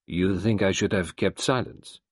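A man voicing a grave, cynical middle-aged French doctor in a storybook reading, deliberate and world-weary. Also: lowish voice, very animated, slightly rough, no room sound, clean studio sound, full character voice. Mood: rueful.

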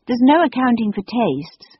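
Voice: mid-range voice, big pitch swings, a little slow, breathy edge, dry recording, voice pushed up. A narrator voicing a sensible, dry-humoured boy in a storybook. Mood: reasoning. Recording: dull, dry recording.

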